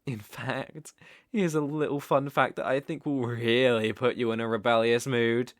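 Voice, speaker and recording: A man's voice: medium pitch, steady tone, normal speed, no echo, clean studio sound, natural voice. A British man playing a cruel, doting sorcerer in an ASMR roleplay, cooing baby talk with smug menace. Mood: teasing, condescending. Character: gloating, doting, cruel male sorcerer captor.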